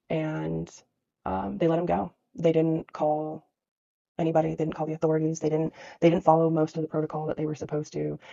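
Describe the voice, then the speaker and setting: A woman's voice: deep voice, creaky, dark tone, no echo, lo-fi sound. A young woman vlogging as a dog trainer, telling an emotional personal story. She sounds nasal and congested from crying and a cold, and she rambles with many fillers. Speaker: dog trainer and business owner.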